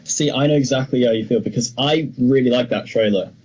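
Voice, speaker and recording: A man's voice: mid-range voice, fairly quick, a little mellow, slightly rough, rough recording. A man co-hosting a podcast, giving his opinions on films in casual, informal conversation. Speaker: podcast co-host. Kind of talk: casual film discussion.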